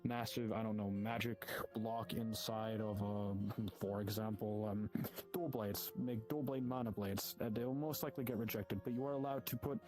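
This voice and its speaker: A man's voice: on the low side, steady tone, moderate pace, a little mellow. A man improvising an in-character guild orientation, explaining politely and wordily. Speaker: roleplayer as hunter guild guide. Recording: music behind the voice. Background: music.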